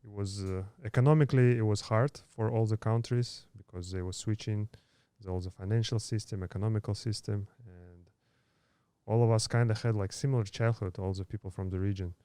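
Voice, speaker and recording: Low mellow-voiced man in his thirties. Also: steady tone, clear, resonant tone, dry recording. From Kazakhstan. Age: 30s. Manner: halting, many fillers and restarts. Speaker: podcast guest. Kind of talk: casual interview storytelling.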